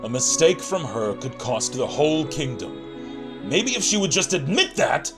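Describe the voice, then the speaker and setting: A man playing a stern, stubborn warrior mentor in an audio drama, gruff and clipped in manner. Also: a little high, big pitch swings, unhurried, music in the background. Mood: stern, worried. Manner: gruff, clipped commands, scolding.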